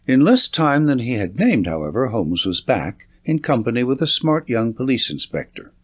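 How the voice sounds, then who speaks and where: A man's voice: medium pitch, big pitch swings, moderate pace, husky. A man reading literary fiction as a scripted audiobook narration.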